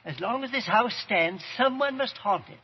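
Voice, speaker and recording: An actor playing the smug ghost of a murderer with mannered, mocking politeness in old-time radio horror. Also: male voice, very high-pitched, lively intonation, moderate pace. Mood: ominous, triumphant. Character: smug, gloating male ghost of a wife-killer. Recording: dull, noisy vintage radio recording.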